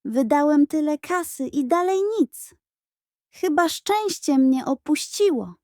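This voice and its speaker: A woman's voice: high-pitched, expressive, takes it slowly, darkish tone, no room sound, studio quality, pitched above the performer's own voice. A woman voicing a boastful, determined, self-important young boy in a children's audio story. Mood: groaning, disappointed. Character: Piotrek, boastful, determined young boy, an older-acting brother.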